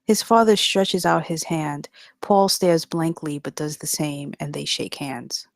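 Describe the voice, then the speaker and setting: Lowish-voiced woman narrating a script. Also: a little slow, gravelly, dry recording, clean studio sound. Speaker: narrator reading stage directions. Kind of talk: scripted narration at table read.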